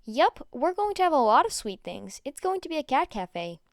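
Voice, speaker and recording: A woman voicing a kind teenage boy in an audio story, speaking gently and reassuringly as if to a child. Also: sits high, very animated, normal speed, no echo, clean studio sound. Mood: tender.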